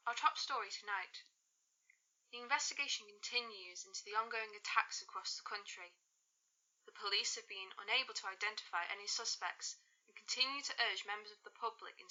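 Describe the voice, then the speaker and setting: A woman's voice: medium pitch, bright, forceful tone, dull audio. A woman acting a composed, formal evening news anchor, reading a bulletin within an audio drama. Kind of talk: formal newsreading. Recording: band-limited broadcast-style sound.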